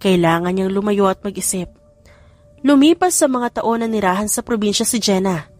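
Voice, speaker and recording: A woman's voice: mid-range voice, moderate pace, faint music behind. A woman reading a scripted romance story as an audiobook narrator.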